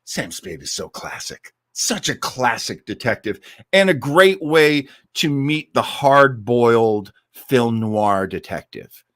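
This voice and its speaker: A man's voice: medium pitch, lively intonation, takes it slowly, fairly bright tone, dry recording, clean studio sound. An American man hosting a casual livestream, chatting to listeners in an enthusiastic, rambling, conversational way. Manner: enthusiastic, effusive about favourites. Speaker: host of an old-time radio listening livestream.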